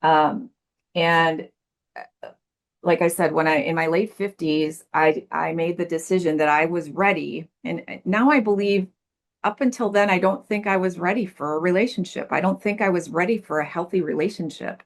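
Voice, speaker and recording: Low gravelly woman in her sixties. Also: steady tone, normal speed. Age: 60s. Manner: earnest, thoughtful, repeats words while searching for them. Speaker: podcast guest, life coach. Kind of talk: reflective interview answers. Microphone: video call.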